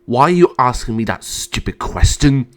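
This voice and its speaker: A man's voice: mid-range voice, animated, normal speed, natural voice. A man playing a cruel, vain, raging emperor in a theatrical, imperious and menacing manner. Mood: enraged. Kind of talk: amateur dramatic storytelling.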